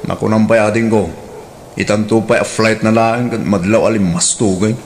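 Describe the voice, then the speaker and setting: A man's voice: on the low side, steady tone, normal speed, a little hiss or hum, close to an everyday voice. A man acting a blunt, grumbling older brother in an Ilocano radio drama, with an Ilocano accent. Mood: dismissive.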